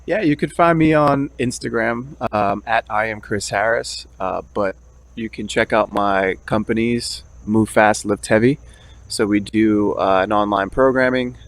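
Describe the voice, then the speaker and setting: A man's voice: lowish voice, measured pace. A man who grew up in Brooklyn, a guest in a casual interview, answering in an easygoing and friendly way. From Brooklyn, New York. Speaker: guest, fitness business owner.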